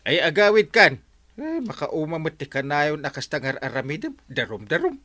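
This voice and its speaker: Male actor: excitable village captain, Ilocano accent, sputtering, swooping pitch, bright edge, broad radio comedy. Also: normal speed. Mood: dismissive, exasperated. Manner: sputtering, repeats hesitant syllables.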